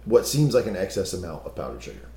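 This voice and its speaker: Hoarse, gravelly low-voiced man. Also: very animated, fast, warm tone, echoey room. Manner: chatty, self-deprecating, restarts often. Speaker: podcast co-host. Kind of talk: casual TV recap chat.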